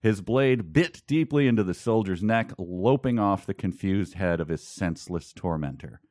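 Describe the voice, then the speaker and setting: A man's voice: sits low, animated, moderate pace, a little mellow, no echo. A man co-hosting a podcast, commenting casually and comically in a mock-incredulous, questioning way. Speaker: podcast co-host. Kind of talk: casual comedic commentary, reading prose excerpts aloud.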